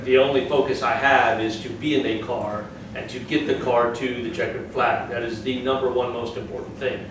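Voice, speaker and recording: A man, a race driver, giving a steady, matter-of-fact talk to a club audience. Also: mid-range voice, normal speed, few pauses. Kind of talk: presentation to a club. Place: meeting room. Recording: echoey room, distant mic, noisy.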